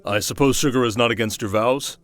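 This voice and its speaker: A man playing a courteous, protective young king and tender husband in an ASMR fantasy roleplay, polite and measured. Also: medium pitch, close to an everyday voice. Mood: respectful.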